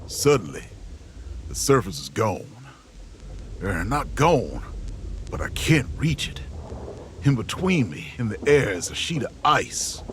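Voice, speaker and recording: A gruff, weary survivor recounts his ordeal in a low voice. He is stoic and colloquial, an audio drama narrator over faint noise. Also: very animated, measured pace, long pauses. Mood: stoic, grim.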